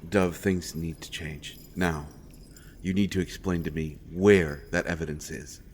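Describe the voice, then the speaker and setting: Man acting low-voiced, earnest royal interrogator. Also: fairly even intonation, unhurried, slight background noise. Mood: urgent, earnest. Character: earnest, protective royal interrogator turned secret rescuer of prisoner. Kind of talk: dramatic fantasy audio roleplay addressed to the listener.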